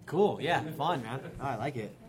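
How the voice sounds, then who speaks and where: A man's voice: medium pitch, animated, normal speed, over music. A man producer chatting casually, affirming with frequent okays and agreement. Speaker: producer listening to pitches. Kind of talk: casual chat.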